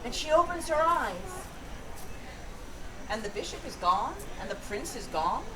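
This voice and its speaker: A woman storyteller with a high voice makes up a fairy tale in a playful way, pausing for ideas. She is recorded from a distance at an outdoor festival, with clear background noise. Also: big pitch swings. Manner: playful, pauses to invite suggestions. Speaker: storyteller, performer. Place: outdoor Renaissance festival with audience. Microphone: distant camera.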